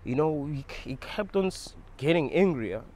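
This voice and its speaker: A young man, telling a personal story to camera in an animated, emphatic way and swearing freely. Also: fairly high pitch, moderate pace. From South Africa. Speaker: vlogger.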